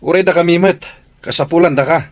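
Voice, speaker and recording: A man's voice: a little high, fast, natural voice. A man playing a stern, controlling middle-aged father in a radio drama, speaking firmly. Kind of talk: Ilocano radio drama acting.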